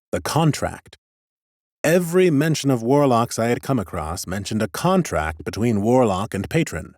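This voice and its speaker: A man's voice: on the low side, darkish tone, no room sound, studio quality. A man playing an ancient, scholarly lich who muses in a precise, pompous and clinically curious way, as in a first-person fantasy audiobook. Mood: curious, calculating.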